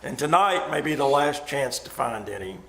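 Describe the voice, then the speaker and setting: Male actor as blunt cynical survivor, creaky voice, dramatic radio acting, roomy, faintly noisy recording. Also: medium pitch, animated, moderate pace, fairly bright tone. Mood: practical urgency. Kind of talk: live old-time radio thriller acting. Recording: roomy live stage, some noise.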